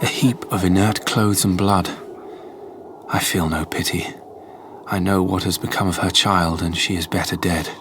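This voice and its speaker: An actor playing a desperate young prisoner who gravely and intensely narrates his diary in a radio drama. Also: low voice, steady tone, moderate pace, background noise. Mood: grim resolve. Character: desperate young male prisoner recounting his captivity, grimly resolute. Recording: music under the voice. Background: loud dramatic music.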